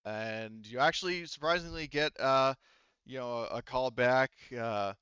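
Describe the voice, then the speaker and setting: A man speaking casually and haltingly as a game master, often rewording himself as he explains and narrates a tabletop game. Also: medium pitch, sharp tone, smooth, no echo, muffled recording. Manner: halting, self-correcting, informal with swearing.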